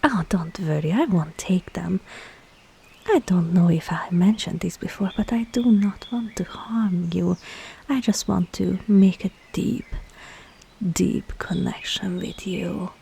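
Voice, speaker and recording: Woman playing a whispering, gravelly, scheming seductive witch. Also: lowish voice, slow pace, mellow, exaggerated voice. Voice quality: breathy, mostly whispered. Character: scheming, seductive witch, teasing and falsely reassuring. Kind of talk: whispered ASMR roleplay.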